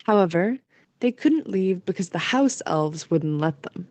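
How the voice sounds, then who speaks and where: A woman's voice: fairly low pitch, lively intonation, a little slow, darkish tone, no room sound, highs cut off. A woman narrating a scripted story as the narrator of an audio play.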